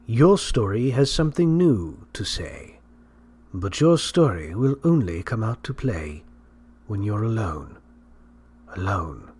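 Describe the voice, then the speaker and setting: A man delivering a dramatic scripted podcast intro in a deliberate, theatrical manner with a husky, rough voice. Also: fairly low pitch, very animated, a little mellow.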